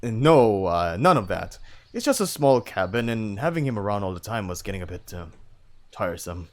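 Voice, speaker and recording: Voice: low voice, expressive, pitched below the performer's own voice. A reader as a hesitant, evasive young male wolf. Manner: hesitant, halting excuses. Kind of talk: amateur character voice in story read-aloud.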